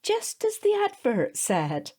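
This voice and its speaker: Proud gushing mum, very lively intonation, slow pace, radio play. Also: female voice, a little high, breathy edge, exaggerated voice. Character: doting, proud suburban mother. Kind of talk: audio drama dialogue.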